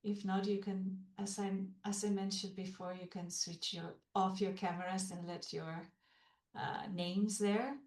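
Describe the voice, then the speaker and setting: A woman's voice: mid-range voice, flat delivery, measured pace, darkish tone. Lady host on a video call, lots of uhs, echoey room. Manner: hesitant, many fillers. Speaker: podcast host. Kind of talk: hosting a video call podcast.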